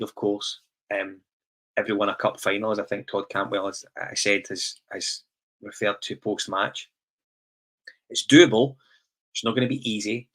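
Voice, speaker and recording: A man with a Scottish accent hosting a casual football podcast and putting questions to his panel. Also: lowish voice. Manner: relaxed, asks the panel questions. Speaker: podcast host.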